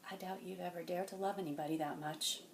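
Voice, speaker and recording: A woman performing a dramatic monologue as a weary, wounded, stern mentor, speaking deliberately and intensely, moving between hushed and forceful. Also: fairly low pitch, steady tone, gravelly, slight background noise. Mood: stern, cutting. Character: weary, wounded, stern mentor confronting a cocky young genius. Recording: echoey room.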